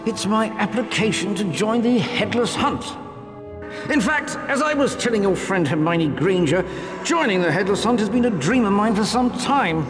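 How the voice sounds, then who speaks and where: A courtly British ghost from a video game, speaks high with old-fashioned cheer. Long reverb trails the words, and music plays strongly behind. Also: male voice, lively intonation, moderate pace. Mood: cheerful, genteel.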